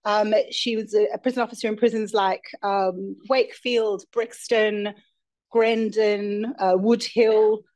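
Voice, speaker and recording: A woman opens a live lecture evening in front of an audience. She speaks informally in a halting way, often stopping and restarting her sentences. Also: mid-range voice, level tone, a little mellow. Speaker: event host, charity chief executive. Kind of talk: introductory remarks at a lecture.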